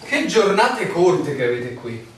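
An actor playing a puzzled, polite but stubborn newcomer, a land surveyor, in a theatrical radio drama. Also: male voice, fairly high pitch, big pitch swings, slow. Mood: puzzled, recounting. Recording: echoey room, distant mic, slight noise.

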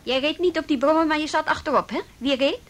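A woman acting a brisk, persistent policewoman in a radio drama, firm and probing as she questions people. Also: sits high, a bit bright. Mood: pressing, insistent. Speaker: police officer.

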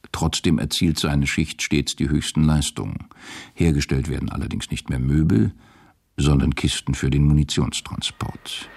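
A man narrating a literary radio drama in a measured, sober manner. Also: very deep voice, vocal fry, a little mellow, a little hiss or hum.

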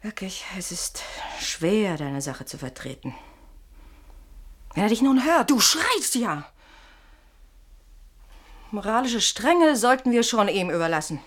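A woman's voice: medium pitch, very animated, takes it slowly, long pauses. An actress playing a shrewd, insistent woman in a German radio play, pressing her point with persuasive, pointed irony. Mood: reproachful.

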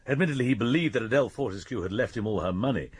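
An actor playing a shrewd, methodical English police inspector in a radio drama, measured and probing. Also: male voice, medium pitch, lively intonation, husky, no echo. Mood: musing, businesslike. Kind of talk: British radio drama acting.

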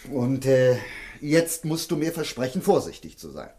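An actor playing an earnest, protective middle-aged investigator in a German radio drama, hesitant and repeating himself. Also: male voice, mid-range voice, big pitch swings, normal speed, warm tone. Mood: urgent, protective. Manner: hesitant, stammering repetitions, earnest.

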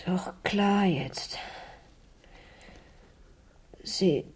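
An actress playing an injured, earnest fifteen-year-old girl in a radio crime drama, speaking in a weak, halting whisper. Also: medium pitch, darkish tone, slightly rough. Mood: weak, intent.

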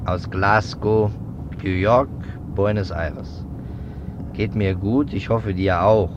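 An actor playing a methodical, suspicious investigator in a 1950s German radio drama, speaking deliberately in emphatic, clipped phrases. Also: male voice, fairly low pitch. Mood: recounting. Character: persistent adult male investigator, methodical and suspicious. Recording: dull, noisy 1950s radio recording.

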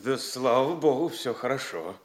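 An actor playing a deferential but stubborn inn servant in an audio drama, speaking in a hesitant, servile and evasive way. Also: male voice, medium pitch, expressive, moderate pace, natural voice. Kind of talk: theatrical audio drama acting.

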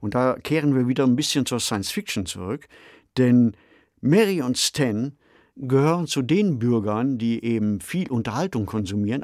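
A man co-hosting a discussion podcast, explaining in a measured, conversational manner. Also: medium pitch, darkish tone, dry recording, studio quality. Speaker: podcast co-host and novelist. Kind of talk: conversational radio discussion of science fiction.

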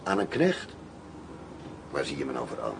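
A man's voice: wildly swooping pitch, moderate pace, creaky, heavily acted. A man playing an unflappable, curt and commanding undercover agent in a radio drama. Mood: cool, defiant. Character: cool, defiant undercover agent posing as arms buyer. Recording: noisy radio play, vehicle rumble.

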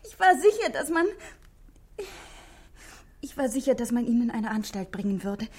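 High, husky, guilt-ridden frightened woman. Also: sing-song, darkish tone. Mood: agitated. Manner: halting, pleading, repeats herself. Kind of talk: 1960s German radio drama acting. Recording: vintage 1960s radio studio recording.

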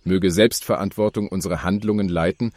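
Actor as blunt farm handyman, very low voice, grumpy, audio drama. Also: steady tone, normal speed, a little mellow, no room sound. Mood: solemn. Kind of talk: German audio drama dialogue.

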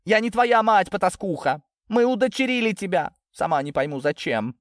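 A spiteful middle-aged wife, played by a male narrator, pitched well up and swinging widely. She snaps and shrieks with contempt in a dry, close audiobook recording. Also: big pitch swings, moderate pace, no room sound. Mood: venomous.

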